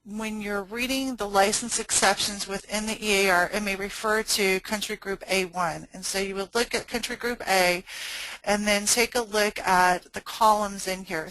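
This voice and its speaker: A woman's voice: medium pitch, fairly even intonation, moderate pace, a bit bright, no room sound, low-quality recording. A woman trade compliance consultant presenting a webinar, walking listeners through regulations step by step. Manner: explanatory, instructive.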